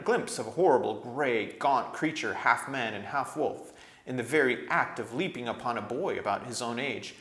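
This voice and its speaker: A man narrating a storybook aloud in an expressive, scripted read-aloud style. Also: medium pitch. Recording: echoey room.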